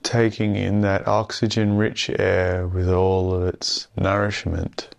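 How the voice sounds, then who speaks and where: A man guides a meditation in a low, smooth voice. He speaks very slowly and soothingly, leaving long pauses between phrases. His delivery stays calm and very even in pitch throughout.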